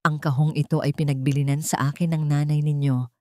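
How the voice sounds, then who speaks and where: Sorrowful, secret-keeping aunt for an audio story played by a woman, grave delivery, slightly low. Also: little pitch movement, fairly quick, a little mellow, no echo, studio quality, natural voice. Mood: solemn. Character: older aunt, sorrowful keeper of family secrets.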